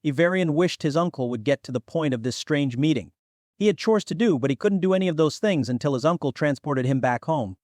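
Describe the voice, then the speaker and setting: A man's voice: on the high side, expressive, fairly quick, a little mellow, dry recording. Male fantasy audiobook narrator, dry recording.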